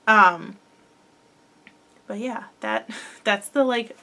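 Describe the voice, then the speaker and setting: A young woman chats casually about her crochet projects with a slightly stuffy, head-cold sound. She rambles and fills pauses with "um" and "like". Her pitch sits mid-range for a woman. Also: bright, forceful tone. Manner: chatty, rambling, filler-heavy with frequent likes and ums. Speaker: crochet podcast host. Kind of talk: casual chat.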